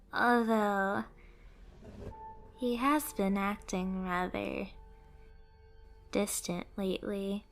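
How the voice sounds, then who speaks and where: A woman's voice: fairly high pitch, fairly bright tone. A woman voicing a devoted, submissive young catgirl pet in an ASMR romance roleplay, tender, earnest and pleading.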